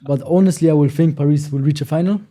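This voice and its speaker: Man, mellow, somewhat high, teasing panel guest. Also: quick pace. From Switzerland. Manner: playful, teasing.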